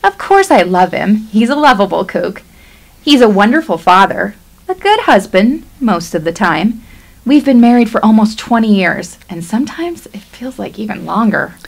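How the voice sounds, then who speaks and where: An actress playing an exasperated, pragmatic middle-aged wife in a radio play, wry and insistent. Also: female voice, mid-range voice, big pitch swings, normal speed, gravelly. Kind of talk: stage-style radio play acting.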